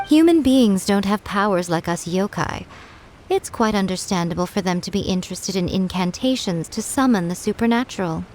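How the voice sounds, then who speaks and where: A woman's voice: medium pitch, lively intonation, moderate pace, darkish tone, a little hiss or hum, lightly acted. A woman voicing a sly, elegant fox-spirit shrine priestess in a video game, poised, haughty and playfully sarcastic. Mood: unbothered.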